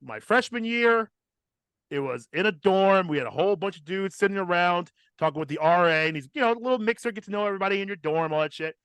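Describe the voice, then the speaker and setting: A man's voice: high-pitched, fast talker, a bit bright, smooth, dry recording. A man hosting a podcast, telling a personal story in a casual, profane and emphatic comic style.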